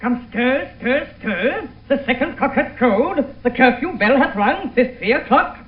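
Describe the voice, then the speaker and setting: An actor playing a bustling, excitable old lord with commanding, theatrical delivery in a 1970s radio Shakespeare play. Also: male voice, extremely high pitch, measured pace, faint music behind. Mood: bustling, impatient. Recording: echoey room, dull old radio recording.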